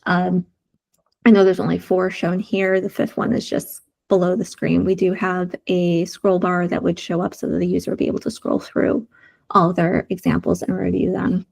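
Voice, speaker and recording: A woman's voice: on the low side, flat delivery, moderate pace, vocal fry, a little mellow, breathy edge. A woman with a sore throat presenting design prototypes in a video call meeting, explaining each screen step by step with frequent fillers. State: sore throat, losing her voice. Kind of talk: community meeting screen-share walkthrough.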